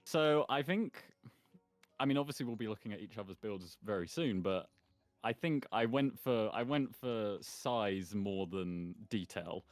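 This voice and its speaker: A man's voice: fairly low pitch, a little slow, dry recording, soft background music. A man giving casual, rambling gameplay commentary as host, with a self-deprecating manner. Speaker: gaming video host.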